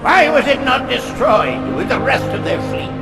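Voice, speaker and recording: Super high angry alien council member, bossy, distant n echoey, game cutscene. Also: male voice, big pitch swings, moderate pace, full character voice. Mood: indignant. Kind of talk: video game cutscene acting. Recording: distant, echoing chamber, music behind.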